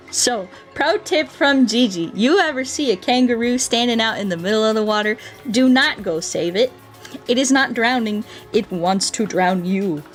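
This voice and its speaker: Woman game streamer, chatty and playful. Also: a little high. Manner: giggly, playful, mock-earnest. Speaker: video game livestreamer. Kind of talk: casual chat with viewers while playing.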